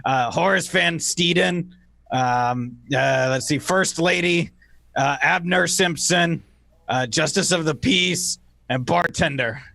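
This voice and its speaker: A man's voice: a little high, slow pace, gravelly, pushed and bright, dry recording. A man from a voice acting cast, chatting casually with his fellow players. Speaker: voice actor in the cast. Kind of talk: casual chat.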